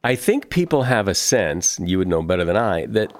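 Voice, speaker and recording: A man's voice: low-pitched, sing-song, normal speed. A middle-aged man hosting an interview, asking thoughtful questions and putting the guest's ideas into his own words. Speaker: podcast host.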